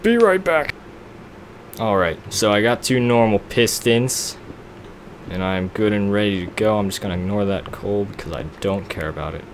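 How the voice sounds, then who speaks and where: A man's voice: on the low side, expressive, moderate pace, a little hiss or hum. A young man casually narrating a block-building game, rambling and poking fun at himself while he works things out. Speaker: let's play gamer. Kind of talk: casual gameplay commentary.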